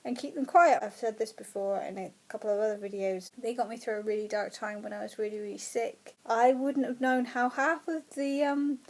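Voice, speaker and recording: A woman from the UK talks to her camera in a chatty, excited way, often breaking into laughter. Her voice sits a little high. It is casual, unscripted vlog talk. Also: a little fast, hardly any pauses. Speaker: book vlogger.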